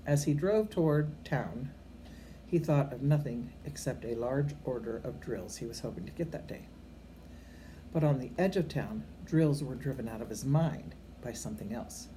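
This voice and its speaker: A woman's voice: very deep voice, moderate pace, low-quality recording. An older woman reading a storybook aloud to children like a grandmother, expressively and with a homely, unpolished delivery. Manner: expressive, occasionally stumbling over words and correcting herself. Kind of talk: read-aloud storytelling with informal asides to children. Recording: amateur recording with faint background noise.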